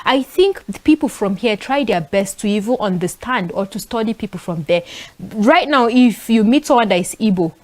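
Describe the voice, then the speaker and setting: A young woman from northern Nigeria with a Nigerian accent, speaking as a talk show panelist and telling stories in an animated way, with frequent fillers. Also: medium pitch, normal speed. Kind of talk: casual panel discussion.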